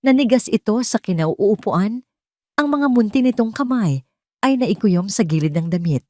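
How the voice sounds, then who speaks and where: A woman reading a scripted dramatic story as narrator, in a measured, suspenseful way. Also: medium pitch, expressive, dark tone, no room sound, studio quality. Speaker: narrator of audio drama stories.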